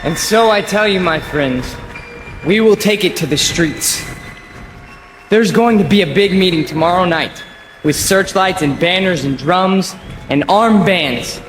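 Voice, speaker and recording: An actor playing a young street demagogue who rallies a crowd to march. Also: male voice, high voice, measured pace, a bit bright, soft background music. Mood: rousing. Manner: rousing, then pleading. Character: young man, hate-mongering street demagogue. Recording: echoey hall sound.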